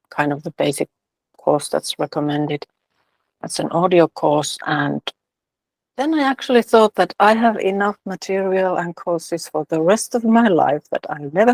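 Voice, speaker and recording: Gravelly-voiced woman, dry sound. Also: lowish voice, a little mellow, no room sound. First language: Finnish. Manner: thoughtful, hesitant with fillers. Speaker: guest, releasing facilitator and author. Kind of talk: casual interview talk.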